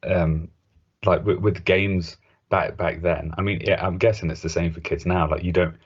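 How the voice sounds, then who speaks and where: A man's voice: deep voice, steady tone, normal speed, husky. A man in his thirties chatting casually as a podcast co-host, in a loose, hesitant conversational way. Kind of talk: casual chat. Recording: dull lo-fi podcast audio.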